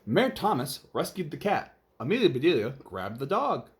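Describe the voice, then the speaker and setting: A man's voice: a little high, big pitch swings, normal speed. A man narrating a children's story aloud in an emphatic, theatrical way. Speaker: storybook reader.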